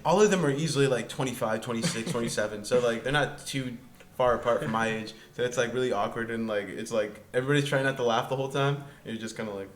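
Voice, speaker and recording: A man's voice: medium pitch, quick pace, reverberant, slight background noise. A man in his twenties telling a story in casual podcast chat, laid-back, with lots of fillers and laughs. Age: 20s. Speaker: podcast guest.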